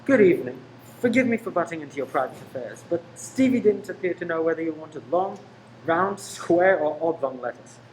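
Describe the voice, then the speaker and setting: Woman doing cheeky chatty shop guy whos secretly a cop, radio play, lowish voice, bit of background noise. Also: animated, brisk, darkish tone, rough recording. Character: Ted, cheeky undercover detective posing as greengrocer's man. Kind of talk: amateur radio play acting.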